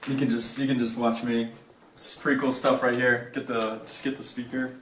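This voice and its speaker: A young man presents a student project informally. His delivery is off-the-cuff and laid-back, with hesitant pauses. His voice sits at an average pitch for a man. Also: little pitch movement, fairly bright tone, slight background noise, low-quality recording. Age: young adult.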